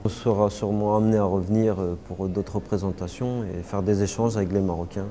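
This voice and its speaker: A young man, answering interview questions casually, halting often with fillers and restarts. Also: fairly low pitch, steady tone, warm tone. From Paris suburbs, France. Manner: hesitant, many fillers and restarts. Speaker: interviewee, martial artist.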